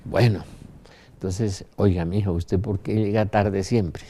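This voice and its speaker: Older Colombian man, low mellow voice. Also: fairly even intonation, takes it slowly, a little hiss or hum. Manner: anecdotal, emphatic, rhetorical questions. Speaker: religious speaker. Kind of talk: informal religious talk to an audience.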